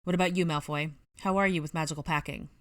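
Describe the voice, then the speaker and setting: A woman's voice: deep voice, steady tone, fast talker, fairly bright tone, no room sound, clean studio sound. A woman voicing a young male leader in an audiobook, giving orders in an urgent, commanding way. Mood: brisk, controlled.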